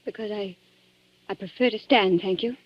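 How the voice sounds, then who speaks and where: A woman's voice: medium pitch, normal speed, lightly acted. An actress playing a distraught, defiant young woman, dramatic and pleading, in a 1940s radio thriller. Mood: strained. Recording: dull 1940s radio broadcast.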